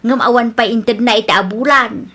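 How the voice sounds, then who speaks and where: A bossy mother, played by a woman in a radio comedy drama. Her voice is bright and sharp, and it sits a little high. She speaks briskly and with assurance.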